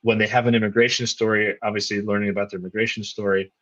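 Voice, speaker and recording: A man hosting a podcast, asking rambling, curious questions in a low voice. His voice comes through a dull, lo-fi remote call line. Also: level tone, quick pace, a bit bright. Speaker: podcast host. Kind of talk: interview questions, casual.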